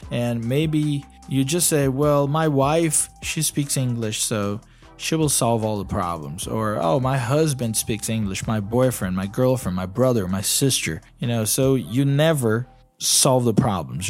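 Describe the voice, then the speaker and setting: A Brazilian man, speaking English as a second language with a Brazilian Portuguese accent, coaches his listeners in an easygoing, chatty way. His voice sits in a middle pitch, and he keeps dropping in "you know." Faint music plays quietly behind him. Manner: coaching, conversational, many fillers like "you know". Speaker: podcast host and English teacher. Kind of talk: casual solo podcast talk.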